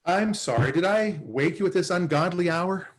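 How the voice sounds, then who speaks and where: A man's voice: high-pitched, moderate pace, lightly acted. An actor playing a self-important, sarcastic male salesman in a sitcom table read, recorded on a video call. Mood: wheedling. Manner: sarcastic, wheedling, hesitant.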